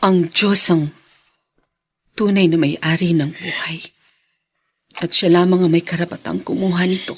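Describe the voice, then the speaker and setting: An actress playing a forgiving, devout widow in a radio drama, speaking earnestly and with feeling. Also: female voice, lowish voice, fairly even intonation, unhurried, long pauses, slightly rough. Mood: solemn.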